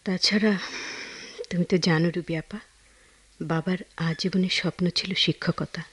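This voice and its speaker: A woman's voice: fairly low pitch, normal speed, slightly rough. An actress playing a spirited, idealistic young woman in a Bengali radio drama, speaking expressively with a Bangladeshi accent. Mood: earnest, assured. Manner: earnest, resolute, expressive.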